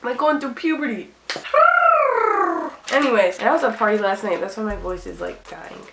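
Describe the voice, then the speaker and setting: Young woman, sassy and upbeat, tired cracking voice, medium pitch, roomy echo. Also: expressive, a little fast, heavy background noise. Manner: chatty, sassy, upbeat, lots of "like". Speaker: vlogger giving school advice. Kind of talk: casual talk to camera.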